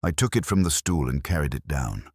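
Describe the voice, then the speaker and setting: A man's voice: a bass voice, animated, brisk, darkish tone, breathy edge, no room sound, studio quality, exaggerated voice. A man voicing a nervous, stubborn clerk who makes a precise, defensive confession in an audiobook mystery. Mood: uneasy.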